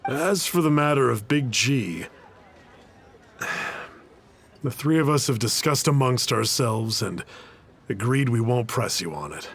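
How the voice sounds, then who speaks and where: A man playing a reserved, reflective townsman in a video game, gravelly and measured. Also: medium pitch, expressive. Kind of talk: video game character dialogue.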